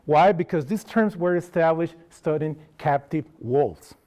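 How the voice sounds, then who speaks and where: A man's voice: a little high, unhurried, darkish tone. A man from Costa Rica whose first language is Spanish, speaking with a Costa Rican Spanish accent as he gives a conversational public lecture and asks the audience questions. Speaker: wildlife sanctuary educator giving a public talk. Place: lecture hall.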